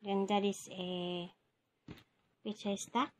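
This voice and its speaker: A woman's voice: medium pitch, flat delivery, noisy background. A woman with a Filipino English accent, casually narrating her home cooking to viewers, filmed on a handheld phone. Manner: slow, chatty, speaking to viewers as she cooks. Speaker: home cook and vlogger. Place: home garden and kitchen.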